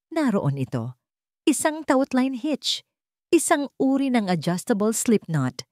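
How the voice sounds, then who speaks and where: A woman's voice: fairly low pitch, dark tone, dry recording, studio quality. A woman with a Filipino accent reading a scripted dramatic story as its narrator.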